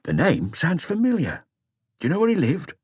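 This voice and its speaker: A man's voice: on the high side, wildly swooping pitch, normal speed, a little mellow, slightly rough, dry recording, studio quality, pitched above the performer's own voice, full character voice. A man voicing an upset, dutiful policeman in an audiobook, speaking hesitantly and deferentially to his superior. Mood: uneasy. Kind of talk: audiobook character dialogue.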